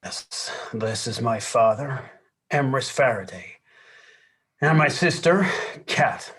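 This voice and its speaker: Man playing a very raspy, monstrous henchman. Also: mid-range voice, animated, takes it slowly, fairly bright tone, exaggerated voice. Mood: cold, formal. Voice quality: husky, rough. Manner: curt, ominous. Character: huge, monstrous, menacing henchman son. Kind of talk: dramatic script table read.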